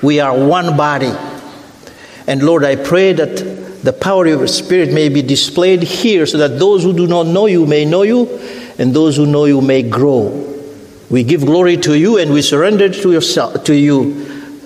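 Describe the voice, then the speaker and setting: A middle-aged man from Africa with a French accent, preaching a sermon as a guest missionary, deliberate and repeating his points. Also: darkish tone. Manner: deliberate, repeats phrases, rises in pitch when preaching. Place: church sanctuary. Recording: echoey church hall.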